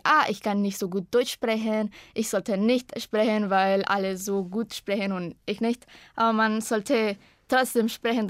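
A woman's voice: on the high side, no echo, clean studio sound. Young woman speaking learner German. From Costa Rica. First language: Spanish. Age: 20s. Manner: hesitant, searching for words. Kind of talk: personal self-introduction.

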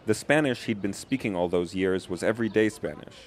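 A man reporting, reading a scripted news narration with measured, even delivery. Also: low voice, a little hiss or hum.

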